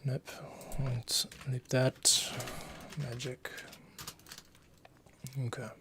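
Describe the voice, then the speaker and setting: A man's voice: mid-range voice, monotone, drawn-out words. A man giving casual work-along commentary as a composer, muttering playful asides to himself. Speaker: music composer giving a screen tutorial. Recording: noticeable background noise, keyboard typing.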